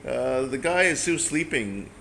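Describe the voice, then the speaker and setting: A man from Hong Kong chatting candidly as a travel vlogger, rambling and excitable. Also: mid-range voice, unhurried, creaky. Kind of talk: casual vlog narration and chat. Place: bus stop area outdoors. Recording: street noise behind.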